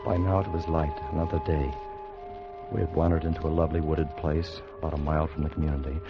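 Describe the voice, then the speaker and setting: An actor playing an earnest, excitable time traveler who tells his adventure intensely in a 1950s radio drama. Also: a bass voice. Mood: tense narration. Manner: intense, deliberate storytelling. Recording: dull vintage radio recording with music.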